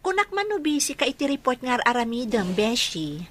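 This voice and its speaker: A woman plays a sensible young working daughter in a radio drama. Her voice sits a little high, matter-of-fact with a touch of exasperation, in an Ilocano accent. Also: very animated, brisk. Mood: guarded. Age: young adult.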